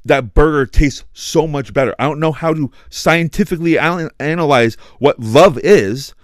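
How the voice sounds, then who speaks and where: A man hosting a casual podcast chat, talking loudly and passionately, swearing and filling his speech with "like". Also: on the high side, normal speed, no echo, studio quality. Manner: loud, passionate, swears, heavy use of "like". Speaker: podcast host.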